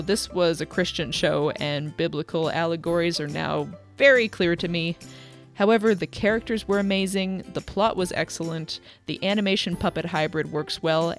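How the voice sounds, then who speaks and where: A young woman reading scripted video essay narration. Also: fairly low pitch.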